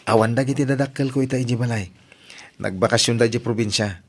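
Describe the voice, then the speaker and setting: A man's voice: mid-range voice, fast talker, close to an everyday voice. A man playing a mischievous, coaxing young suitor in an Ilocano audio drama, with an Ilocano Filipino accent and a bantering manner.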